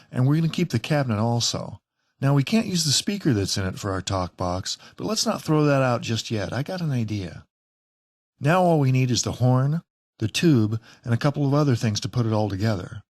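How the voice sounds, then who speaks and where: A man's voice: medium pitch, dry recording, studio quality. A man presenting a casual DIY tutorial in a plain-spoken, lightly wry way. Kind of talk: casual how-to narration.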